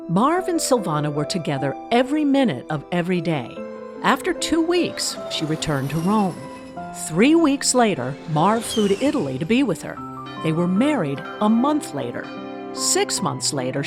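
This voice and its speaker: Documentary narrator woman acting, lively intonation, scripted storytelling over clear music. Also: medium pitch, lightly acted. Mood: dramatic. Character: documentary narrator telling a mock biography.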